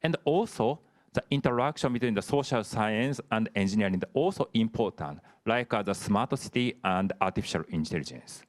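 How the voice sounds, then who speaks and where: A man who is a professor, answering questions in an online lecture in halting speech full of restarts. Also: fairly low pitch, lively intonation. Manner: halting, frequent filler "the" and restarts.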